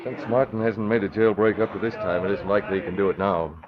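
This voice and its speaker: Deep-voiced, assured newspaper publisher played by a man. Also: steady tone, a crowd in the background, lightly acted. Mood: cordial. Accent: American. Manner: measured, assured. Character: calm, courteous young male newspaper publisher. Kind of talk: 1940s radio drama acting. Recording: muffled old radio, busy background.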